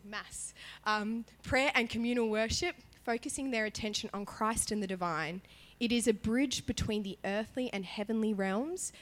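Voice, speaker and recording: A woman worship leader giving a sermon in a church, chatty and animated in her emphasis, her voice partly gone from illness. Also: medium pitch. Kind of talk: sermon with prayer.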